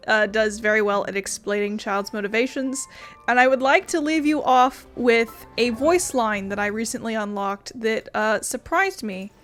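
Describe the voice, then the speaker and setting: A woman giving casual, sarcastic, sweary commentary as she plays through a game. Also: on the high side. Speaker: gaming video host. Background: soft game music.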